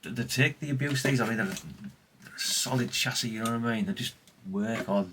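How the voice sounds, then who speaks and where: A man's voice: mid-range voice, moderate pace, noisy background. A man, chatting casually and ramblingly as he presents old radio gear in a vlog. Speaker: vlogger showing vintage CB radios. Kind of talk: casual, unscripted chat.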